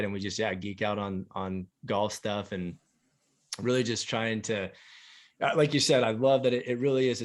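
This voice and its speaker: A man hosting a golf podcast, chatting eagerly and rambling with lots of filler words. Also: on the low side. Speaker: podcast host. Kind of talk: casual interview chat.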